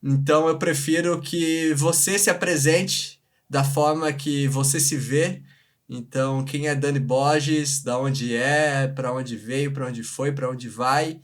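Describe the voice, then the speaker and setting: A man's voice: mid-range voice, steady tone, slow. A man with a Brazilian accent hosting a casual livestream chat, informal and slangy, often repeating himself. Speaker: live show host. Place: remote video live stream from separate location.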